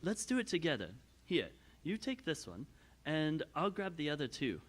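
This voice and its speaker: An actor playing an earnest, worried man in an audio theater drama, with a deliberate stage delivery. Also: a little high, wildly swooping pitch. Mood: worried, resolute. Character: earnest, worried man who found secret journals.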